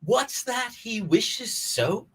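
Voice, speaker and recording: A man's voice: very high-pitched, big pitch swings, very slow, sharp tone, slightly rough. A man performing casual rambling comedy in a scatterbrained, theatrical manner. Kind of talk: rambling casual comic livestream.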